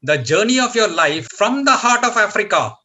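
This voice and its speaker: A man's voice: sits high, sing-song, moderate pace, bright, forceful tone. A man hosting a talk show over a video call, introducing a guest in an announcer-like, emphatic way. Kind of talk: formal guest introduction and interview questions.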